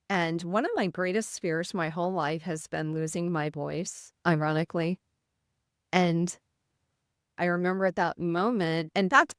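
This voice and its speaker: A middle-aged woman nurse with a Midwestern American accent, speaking with a voice that can sound slightly rough, telling a personal story in an interview. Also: fairly low pitch, dry recording, studio quality. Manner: earnest, vivid retelling with quoted inner thoughts. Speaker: nurse, podcast guest.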